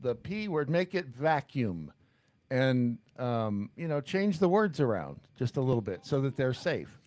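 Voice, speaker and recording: A man's voice: mid-range voice, very animated, unhurried, a little mellow, no echo. A man co-hosting a talk show in casual banter, rambling and playfully interjecting.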